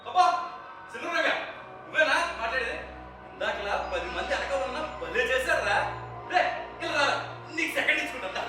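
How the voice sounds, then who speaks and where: A man's voice: very high voice, lively intonation, brisk, pauses often. A man on stage playing a sly village landlord who mocks and threatens the players, heard from far off in an echoey hall with music. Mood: menacing. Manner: mocking, menacing. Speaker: stage actor. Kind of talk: live stage play acting. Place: theatre stage.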